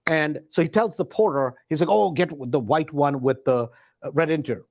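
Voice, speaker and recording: A man with a high-pitched voice spins a personal anecdote in a casual, conversational style. He leans on emphatic repetition and slang, and acts out the back-and-forth dialogue as he goes. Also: normal speed, gravelly. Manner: animated retelling with acted dialogue, emphatic repetition. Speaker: host.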